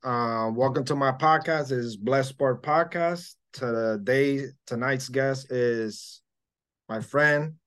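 A man hosting a podcast interview, speaking haltingly and switching between English and Spanish. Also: medium pitch, fairly bright tone, smooth. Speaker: podcast host. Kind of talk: casual interview talk.

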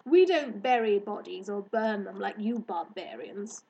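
Woman putting on a haughty, slow-spoken magical alien hare. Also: mid-range voice, lively intonation, gravelly, warm tone, lo-fi sound. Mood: lofty, disapproving. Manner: pompous, drawn-out emphatic delivery.

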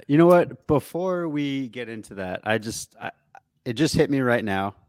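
A man's voice: mid-range voice, lively intonation, moderate pace, no echo. Casual male podcaster, dry close sound. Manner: slangy, mildly profane. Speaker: podcast co-host. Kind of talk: casual sports chat.

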